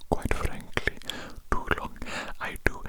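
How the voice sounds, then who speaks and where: A performer voicing an eager, odd alien doctor who poses as a human man of science, in a slow breathy ASMR whisper, repeating phrases in stilted, formal wording. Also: close to an everyday voice. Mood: soothing, eager.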